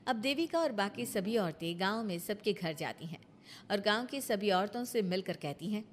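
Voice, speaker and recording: A woman's voice: medium pitch, animated, moderate pace. Woman narrating a story over noise.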